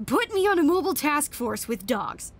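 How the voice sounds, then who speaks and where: An actress playing a sardonic, defiant young staffer who rants bitterly in an audio drama. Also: female voice, high-pitched, big pitch swings, moderate pace. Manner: bitter, emphatic ranting. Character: fed-up, sardonic young female staffer, foul-mouthed and defiant.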